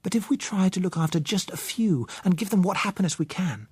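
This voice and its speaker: An earnest young woman from an audiobook novel, as a male reader puts her on, with a raised, husky voice. She is tender and persuasive, stressing her words as she pleads. The sound is close and dry. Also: high-pitched, expressive, a little fast, no room sound, pitched above the performer's own voice, heavily acted. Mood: earnest pleading. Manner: pleading, emphatic.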